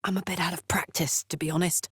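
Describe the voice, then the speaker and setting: A woman's voice: on the low side, flat delivery, fast talker, breathy edge, no echo, studio quality. A woman playing a brash, big-hearted female warrior in a video game, speaking in an earnest, blunt and slangy way. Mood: playful, cheeky.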